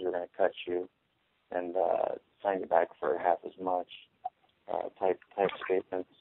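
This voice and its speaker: A man's voice: low-pitched, a little hiss or hum. A man, a fighter, answering press questions in a hesitant, earnest way over a tinny phone line. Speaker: fighter on a media conference call.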